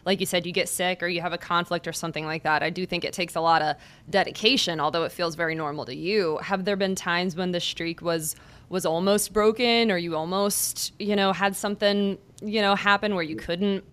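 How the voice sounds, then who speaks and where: A woman's voice: lowish voice, fairly even intonation, a little fast, fairly bright tone, clear, resonant tone. A woman hosting a sports podcast as a beat reporter, introducing and interviewing her guest with bubbly enthusiasm. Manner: enthusiastic and bubbly, gushes with praise.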